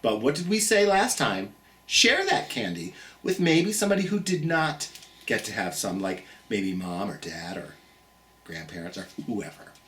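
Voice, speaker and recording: Animated, theatrical children's storytime host. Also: medium pitch, normal speed, distant mic. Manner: theatrical, singsong, encouraging, talks directly to the child listener.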